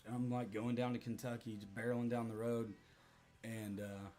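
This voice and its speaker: A man in his thirties with a hoarse voice, chatting casually and profanely with his livestream audience. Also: mid-range voice, little pitch movement, moderate pace, warm tone. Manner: rambling, profane, joking with chat.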